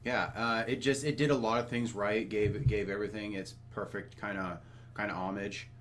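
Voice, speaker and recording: Low-voiced American man, hesitant casual chat. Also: steady tone, moderate pace, fairly bright tone, a little hiss or hum. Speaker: podcast co-host.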